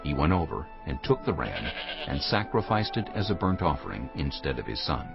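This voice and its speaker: A man narrating a dramatized Bible as a scripted story, solemn and clearly phrased. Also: rumbling low, big pitch swings, fairly quick, highs cut off, over music.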